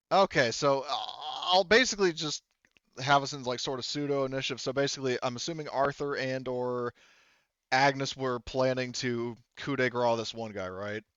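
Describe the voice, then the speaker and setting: A man runs a tabletop roleplaying game in a loose, informal way. His voice is clear and medium in pitch, with a dry sound and very little room around it. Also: a little slow, a bit bright, dry recording, dull audio. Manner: informal, swears casually. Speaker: game master.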